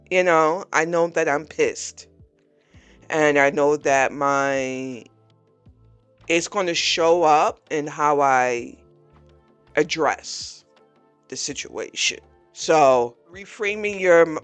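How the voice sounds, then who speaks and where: A podcast host in their forties delivers a personal monologue at an unhurried, deliberate pace. The talk is frank and blunt, openly venting irritation while working through it. Quiet music sits faintly behind the voice. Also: medium pitch. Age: 40s. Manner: candid, blunt, vents frustration openly.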